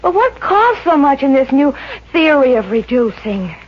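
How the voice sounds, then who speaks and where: A woman's voice: high voice, big pitch swings, moderate pace. A woman playing a plump, always hungry young woman, plaintive, girlish and whiny, in 1950s radio comedy. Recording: muffled, hissy 1950s radio recording.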